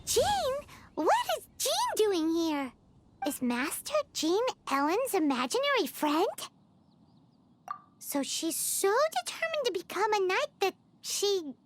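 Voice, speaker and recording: A woman voicing a tiny, excitable female fairy companion in a video game, talking in a squeaky, bouncy way. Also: slow, slight background noise, full character voice. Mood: curious. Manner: squeaky, bouncy, refers to herself by name. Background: game music.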